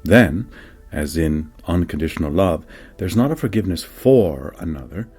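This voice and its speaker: A man reading a scripted spiritual meditation teaching in a measured, deliberate way, with dramatic pauses. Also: sits low, animated, a little mellow, husky. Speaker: reader of a spiritual book. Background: faint music.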